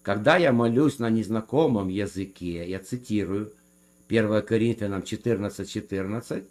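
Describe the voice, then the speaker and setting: A man in a theological debate who speaks in a measured way and quotes scripture to make his case. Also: on the low side, a little mellow. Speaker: guest defending speaking in tongues.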